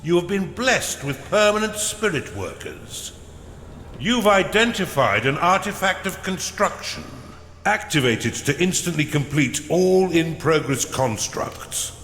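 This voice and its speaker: A man voicing a theatrical advisor to an evil overlord in a fantasy game, announcing formally and deliberately. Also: fairly high pitch, sing-song, a bit bright. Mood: grand, announcing. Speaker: game narrator. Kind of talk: scripted fantasy game narration. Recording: roomy, long reverb, faint noise.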